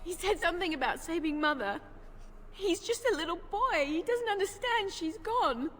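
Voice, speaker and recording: A woman's voice: very high-pitched, lively intonation, moderate pace, in a large hall. A woman voicing a crying frightened village girl. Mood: frightened, tearful.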